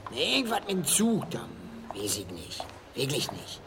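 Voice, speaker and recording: A man playing a nervous small-time informant in a radio play, muttering evasively in a breathy, hushed voice. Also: medium pitch, huge pitch swings, normal speed, noisy background. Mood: nervous, confiding.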